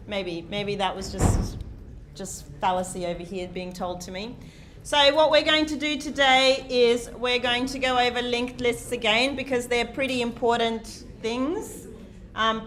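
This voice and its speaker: A woman lecturing a large university class in a lecture hall. She speaks loudly and projects, chatty and self-deprecating, with many "um"s, sometimes drifting off the microphone. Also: a little high. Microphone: lecture microphone, sometimes off-mic while walking.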